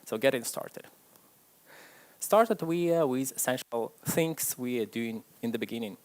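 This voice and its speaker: Male conference speaker, chatty and self-deprecating, middle pitch, speaking to a live crowd. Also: a little slow, rough recording. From Ukraine. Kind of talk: tech conference talk. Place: stage before a live audience.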